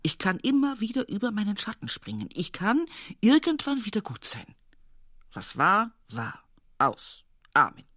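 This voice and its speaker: A woman's voice: medium pitch, wildly swooping pitch, a little slow, bright, forceful tone, dry recording, studio quality, full character voice. An actress playing an older, pious, plain-spoken village woman with an Upper Palatinate Bavarian accent, reminiscing in a folksy radio play monologue. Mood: resigned, firm. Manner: folksy, earthy dialect storytelling with emphatic repetitions.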